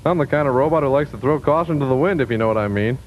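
An actor playing a vain, indignant little male robot on a comedy show, blustering and smooth-talking. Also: mid-range voice, very fast, natural voice. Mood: flirty. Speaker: show regular. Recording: dull lo-fi TV recording with faint hiss.

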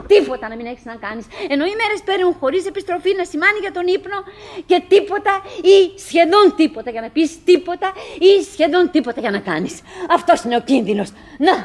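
An actress playing a chatty middle-aged wife in a theatrical radio drama monologue, halting and musing, by turns coaxing and murmuring, wistful, fretful and forcedly cheerful. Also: female voice, extremely high pitch. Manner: halting, musing, self-interrupting, swinging between coaxing and murmuring.

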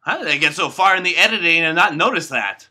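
Man voicing a puppet host, raspy, high-pitched, very bright, cheerfully dim. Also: lively intonation, fast, exaggerated voice. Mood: dismayed. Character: naive self-important male puppet host, cheerfully dim. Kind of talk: comedic puppet show monologue. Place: indoor bedroom.

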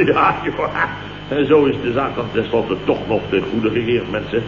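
A man's voice: mid-range voice, animated, normal speed, lo-fi sound, close to an everyday voice. Casting: male actor as boastful, exclamatory boss for old radio comedy; echoey, noisy vintage sound. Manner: rambling, exclamatory, full of stammers and interjections. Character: blustering, boastful, weary male boss and amateur night watchman. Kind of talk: 1970s Dutch radio comedy acting.

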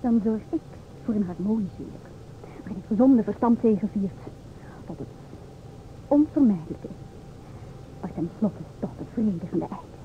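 An actress playing a combative, know-it-all young stewardess in an old radio play, with an emphatic, theatrical delivery. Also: female voice, mid-range voice, lively intonation, moderate pace, long pauses, close to an everyday voice. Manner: emphatic, self-assured, theatrical. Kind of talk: old-time Dutch radio play acting. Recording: old radio recording, narrow tinny band, hiss.

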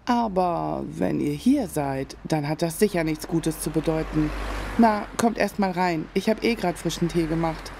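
An actress playing a brash, self-assured older fortune teller who talks with a brusque familiarity. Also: female voice, sits low, measured pace, vocal fry, noisy background. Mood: knowing.

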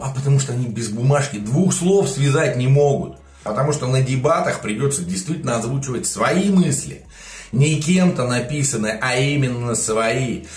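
Indignant man in his forties, roomy sound. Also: medium pitch. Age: 40s. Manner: indignant, animated, gestures a lot. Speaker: political video blogger. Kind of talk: emotional political commentary. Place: home studio room with plants.

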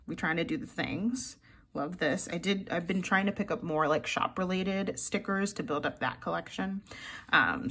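A woman's voice: on the low side, fairly bright tone, slight background noise. A young woman chatting casually through a sticker haul at her desk, gushing and giggly, her voice a little froggy from the early morning. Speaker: planner vlogger.

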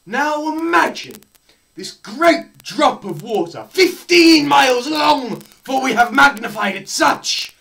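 A young man performing a theatrical scripted lecture, melodramatic, swinging between hushed murmurs and loud cries. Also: very high voice, vocal fry. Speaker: presenter performing a dramatised physics lecture. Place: small plain room indoors.

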